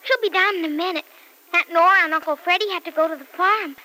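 A woman playing an excitable, affectionate little girl in an old radio soap, with a childlike, eager, earnest delivery. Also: very high voice, quick pace. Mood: chatty, proud. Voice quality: childlike, piping. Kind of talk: old-time radio soap opera acting. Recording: noisy vintage radio recording.

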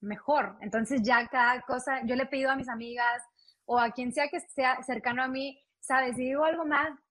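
A woman's voice: high voice, moderate pace, rough recording. A young Mexican woman, a guest on a talk show, telling a story in a chatty way and acting out what people said to her. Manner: storytelling, animated with quoted voices. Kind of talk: casual interview chat.

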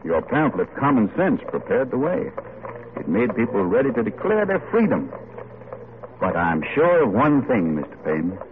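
A man's voice: medium pitch, big pitch swings, normal speed, noisy background, natural voice. An actor playing a thoughtful, courteous and idealistic founding statesman in an old-time radio drama. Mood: courteous, earnest. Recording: tinny old radio broadcast.

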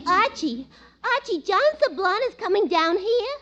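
A woman's voice: very high-pitched, very animated, unhurried, sharp tone, highs cut off, exaggerated voice. An actress playing a dizzy, man-crazy, vain young woman in a 1940s radio comedy. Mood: excited. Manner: gushing, dim-witted, easily affronted. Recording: noisy, crackly vintage radio recording.

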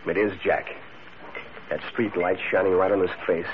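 An actor playing a stern, suspicious, hard-boiled crook boss in a 1940s radio drama. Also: male voice, sits low, flat delivery, moderate pace, natural voice. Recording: tinny, narrow old radio recording, crowd behind.

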